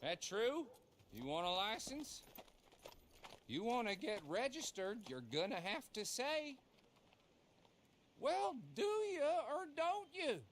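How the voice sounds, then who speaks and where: An actor playing an officious small-town lawman in a western game, with an American accent and an animated manner. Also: very high voice, slow, pauses often, slight background noise, full character voice. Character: fussy small-town lawman issuing bounty licences. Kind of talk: dramatic game cutscene acting.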